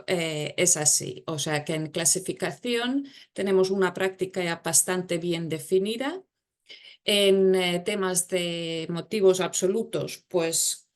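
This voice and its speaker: A woman from Estonia with an Estonian accent, not a native speaker, giving a webinar presentation in a careful, slightly hesitant and self-deprecating way. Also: fairly low pitch.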